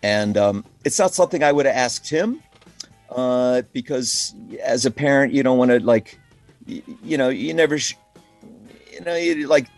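A man's voice: mid-range voice, lively intonation, moderate pace, soft background music. A middle-aged or older man speaking casually and reflectively as an interview guest, rambling with enthusiasm and peppering his talk with "you know" and "like". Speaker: musician being interviewed. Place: calling in remotely to a podcast.

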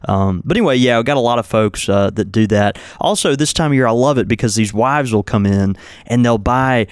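A man's voice: mid-range voice, fairly quick, clear, resonant tone, dry recording, studio quality. A man co-hosting a casual chat, talking in an easygoing, agreeable way and laughing often. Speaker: tobacco shop co-host.

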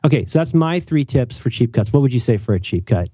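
A man hosting a casual chat, animated and opinionated, joking often and restarting his sentences. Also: medium pitch, fast, breathy edge, no room sound, studio quality. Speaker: podcast host.

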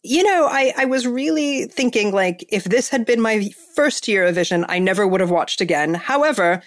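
A woman's voice: mid-range voice, animated, moderate pace. A woman hosting a podcast, chatting casually and enthusiastically, with lots of fillers and restarts. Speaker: podcast host. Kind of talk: casual chat.

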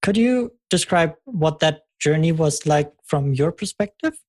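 Podcast host, breathy voice, chatty interview questions, stops and restarts a bit. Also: mid-range voice, expressive, unhurried, a little mellow. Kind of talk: conversational interview.

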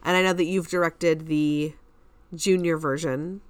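A woman's voice: low-pitched, fairly even intonation, a little slow, clear, resonant tone, no room sound. A woman co-hosting a theater podcast, chatting and bantering casually.

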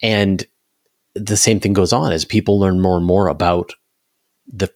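A man's voice: sits low, steady tone, unhurried, darkish tone, no echo. A man speaks in a casual interview. He explains with emphasis and animation, and the sound is close and dry. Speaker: interview guest, space channel creator.

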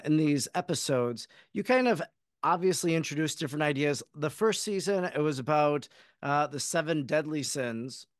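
An American man with a smooth, fairly high voice hosts a conversational interview. He talks in a wordy, rambling way, and the sound is dry and close. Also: no room sound. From Wisconsin, United States. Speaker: podcast host, interviewer.

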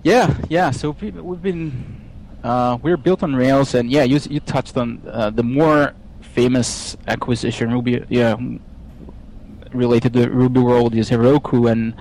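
A man in his thirties from Israel with an Israeli accent, a software developer guest speaking casually with many fillers and restarts. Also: medium pitch, fairly even intonation, a little hiss or hum, low-quality recording. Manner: halting, frequent restarts and fillers. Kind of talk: casual podcast interview.

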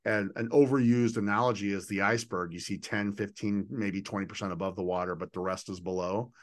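A man hosting a conversational interview, speaking in an enthusiastic, affirming and emphatic way as he lays out his ideas. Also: fairly low pitch. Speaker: podcast host and leadership coach.

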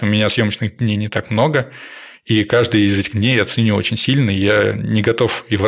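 A man's voice: lowish voice, vocal fry. A man speaking as a guest on a podcast, talking in long, thoughtful stretches with frequent fillers. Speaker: podcast guest, landscape photographer. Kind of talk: casual podcast conversation.

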